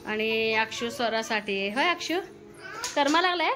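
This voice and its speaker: A woman's voice: a little high, animated, moderate pace, over music. A woman with a rural Maharashtrian accent narrating a casual home vlog, chatting and giving instructions to children and family. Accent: rural Maharashtrian Marathi. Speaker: family vlogger. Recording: clear background noise, home recording.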